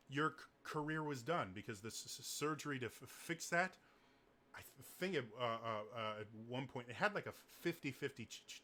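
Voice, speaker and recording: A man with a naturally deep voice giving casual singing tips, stuttering often on the first sounds of words. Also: bright, forceful tone, a little hiss or hum. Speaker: singing coach. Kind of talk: casual advice talk to camera.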